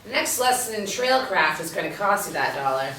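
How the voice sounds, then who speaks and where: A woman's voice: mid-range voice, very animated, a little fast, fairly bright tone, a little hiss or hum. An actress reading the part of a wry, seasoned policewoman and tracker in a screenplay table read, dry and teasing. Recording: roomy, echoey, distant mic.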